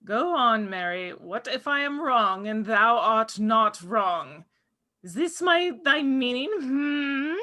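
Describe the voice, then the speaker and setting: A woman's voice: on the high side, animated, slow pace, pushed and bright, exaggerated voice. A woman playing a bossy, irritable witch who speaks in imperious, archaic phrases at a script table read. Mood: haughty impatience. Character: bossy, irritable witch, eldest of three sisters.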